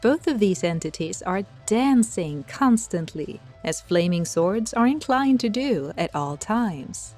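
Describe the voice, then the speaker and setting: A woman's voice: medium pitch, lively intonation, unhurried, hardly any pauses, a little mellow, soft background music. A woman narrating a scripted audio drama as a wry storyteller.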